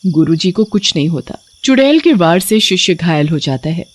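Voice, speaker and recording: A woman's voice: fairly low pitch, animated, normal speed. Woman narrating a horror story.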